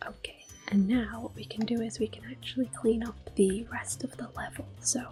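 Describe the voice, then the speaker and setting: A woman giving gentle, soft-spoken ASMR gameplay commentary in a breathy, unhurried whisper. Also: on the high side, fairly even intonation, faint music behind. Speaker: gameplay host.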